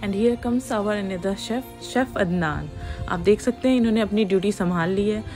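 A woman's voice: medium pitch, measured pace, darkish tone. A woman in her thirties narrating her family's camping trip as a vlog voice-over, chatty and mixing in English phrases. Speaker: vlogger. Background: faint music under the narration.

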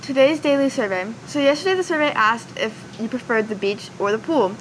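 A teenage girl reads school news in an earnest, scripted way. Her voice sits a little high. Steady noise runs under the recording. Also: a little fast, rough recording. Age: teens. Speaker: student news anchor. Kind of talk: school news segment reading. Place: school TV studio, indoors.

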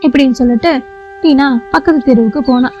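Female storyteller, high pitch, scripted kids' tale narration, dull recording over a light music bed. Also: fast talker.

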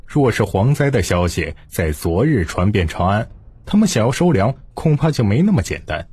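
Man acting an unflappable, husky young prince. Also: lowish voice, sing-song, normal speed, darkish tone, dry recording, full character voice. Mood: smug calm. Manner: nonchalant, self-assured.

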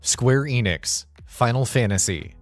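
A man's voice: medium pitch, a little slow, dry recording, faint music behind. A man reading a scripted video narration as the narrator.

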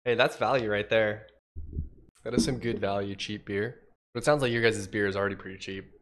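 A man's voice: fairly low pitch, fairly even intonation, brisk, noisy background. Young man, slightly nasal, congested, casual stream chat, thinks aloud at a desk mic. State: congested, with a cough. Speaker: livecoding streamer. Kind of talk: casual livestream chat while coding.

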